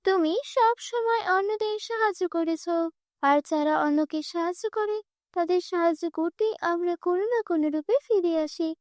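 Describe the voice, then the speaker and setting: A woman voicing a kind, wise fairy in a cartoon, speaking calmly and reassuringly. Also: very high voice, moderate pace, no echo, studio quality. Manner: calm, reassuring. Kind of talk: cartoon dubbing.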